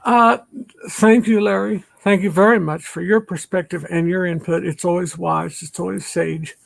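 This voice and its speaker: A retired older pastor hosting a casual online chat, rambling with many fillers and restarts. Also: male voice, sits high, steady tone, moderate pace, gravelly.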